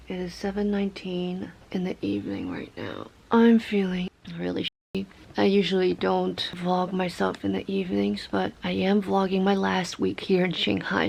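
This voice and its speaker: Hoarse, sick woman half-whispering to her camera. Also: a little hiss or hum. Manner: quiet and low-key, slipping into whispers. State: sick, hoarse with a scratchy throat. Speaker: vlogger. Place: at home in her room.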